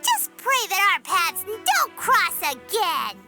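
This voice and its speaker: A woman's voice: extremely high pitch, wildly swooping pitch, slow, heavily acted. A woman voicing a small, spirited, bossy and curious fairy girl in a video game, speaking in an earnest, exclamatory way. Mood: assertive. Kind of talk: video game cutscene voice acting. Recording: loud music behind voice. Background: game music.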